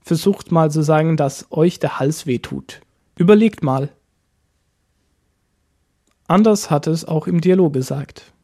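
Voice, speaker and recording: A man teaching a language lesson, explaining each point slowly step by step. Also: on the high side, dark tone. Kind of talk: lesson narration.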